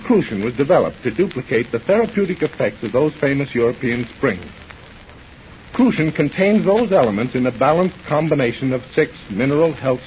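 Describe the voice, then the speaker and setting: A man reading a scripted sponsor message as a formal, earnest announcer in 1930s radio style. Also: on the high side. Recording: muffled, hissy old radio recording.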